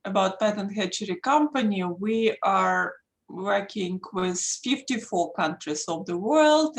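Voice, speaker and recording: A woman with a Russian accent, a native Russian speaker, giving an earnest presentation as a patent attorney over a video call, pausing often with fillers. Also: mid-range voice. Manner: earnest, emphatic, pauses with many fillers. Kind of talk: online presentation.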